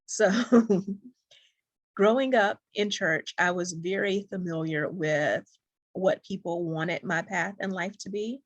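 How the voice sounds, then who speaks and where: Soft-spoken woman coaching, close and dry. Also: fairly low pitch, takes it slowly, no echo, highs cut off. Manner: soft-spoken, warm and encouraging. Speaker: dating coach. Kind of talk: direct-to-camera coaching talk.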